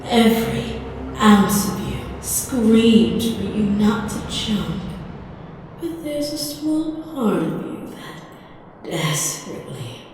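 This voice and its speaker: Slow, echoing, menacing empress, acted by a woman. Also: medium pitch, big pitch swings, darkish tone, slight background noise. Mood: sinister, taunting. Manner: slow, deliberate, theatrical, sinister. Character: menacing female empire ruler who conjures victims' worst fears. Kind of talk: ASMR roleplay voice acting. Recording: cavernous long reverb.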